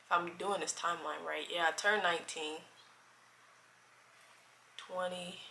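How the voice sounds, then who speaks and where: A woman's voice: on the low side, fairly even intonation, measured pace, gravelly, pushed and bright, slight background noise. A woman in her twenties chatting casually to her camera, rambling and stopping to correct herself. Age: 20s. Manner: rambling, loses track of the timeline and self-corrects. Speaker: vlogger. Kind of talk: casual chat.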